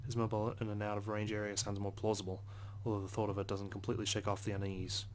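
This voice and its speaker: A man reading visual novel narration aloud as a let's play host, subdued, with hushed asides. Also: fairly low pitch, monotone, fast talker, a little hiss or hum. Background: soft guitar music.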